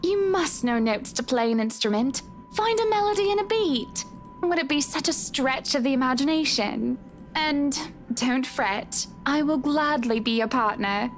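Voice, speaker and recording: A woman's voice: sits high, animated, unhurried, a little hiss or hum. An actress playing an outspoken, sophisticated married woman in a visual novel game, with an articulate, dramatic delivery. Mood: playful teasing. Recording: music behind the voice. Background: music.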